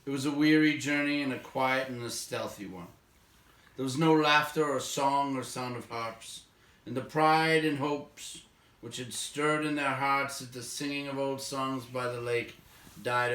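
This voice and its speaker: A man narrating a story aloud in a measured, expressive way, letting phrase endings fall away quietly. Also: medium pitch. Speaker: audiobook reader, narrator. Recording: roomy echo, distant microphone.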